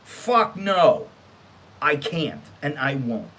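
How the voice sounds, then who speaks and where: Animated, higher-pitched American man ranting. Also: drawn-out words. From United States. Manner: blunt, irritated, sweary; emphatic, exasperated. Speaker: online storytime show host. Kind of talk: casual rant, reading out and commenting on emails.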